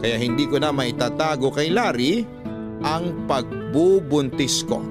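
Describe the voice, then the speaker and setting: A man's voice: fairly high pitch, unhurried, loud music behind the voice. A Filipino man narrating a first-person letter as dramatic radio storytelling, earnest and sorrowful. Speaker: radio host reading a listener's letter.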